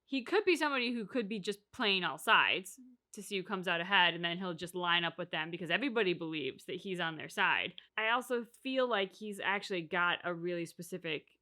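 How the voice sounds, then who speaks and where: A woman's voice: fairly low pitch, sharp tone. A woman co-hosting a reaction channel, giving her opinions in casual, analytical conversation.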